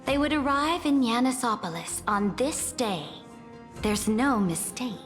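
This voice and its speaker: A woman's voice: a little high, expressive, unhurried. A woman playing an imperious, conquering empress in a video game, haughty and commanding in a grand, formal, declamatory way. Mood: regal, composed. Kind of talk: dramatic video game voice acting. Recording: long hall reverb, music behind.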